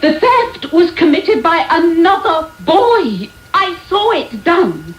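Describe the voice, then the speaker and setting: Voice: very high-pitched, big pitch swings, slow pace, full character voice. A performer playing an earnest shopkeeper giving witness in a radio drama, urgent and deferential. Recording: echoey, faintly noisy radio tape.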